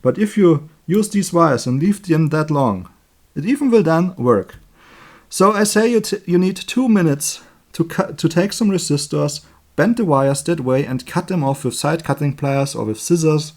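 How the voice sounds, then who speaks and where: A man with a German accent, a native German speaker, giving an informal hands-on electronics tutorial in a chatty, halting way, correcting himself as he works. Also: a little high, expressive, a little mellow. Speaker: electronics hobbyist demonstrating a circuit build. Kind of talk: informal hands-on tutorial, explaining while working.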